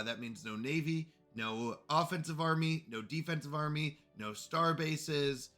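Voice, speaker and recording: A man's voice: fairly high pitch, vocal fry, bright, forceful tone. A man with a congested voice chatting casually to viewers while playing a strategy game on a live stream. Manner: chatty, greets chat viewers.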